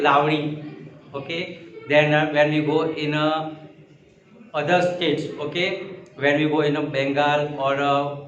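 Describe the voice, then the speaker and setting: A man's voice: on the high side, a bit bright, distant mic. A man teaching a school lesson step by step, repeating key phrases and checking that students follow. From India. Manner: repetitive, step-by-step explaining with check-in questions. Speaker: school social science teacher. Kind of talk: classroom lesson for children. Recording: muffled, echoey room with noise.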